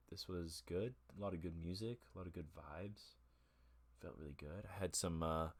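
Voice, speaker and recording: A man hosting his own online music show from home, chatting casually and rambling with lots of fillers. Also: sits low. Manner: rambling, relaxed, with many fillers. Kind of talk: casual chat. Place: home room with a gaming chair. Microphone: laptop setup with a homemade camera mount.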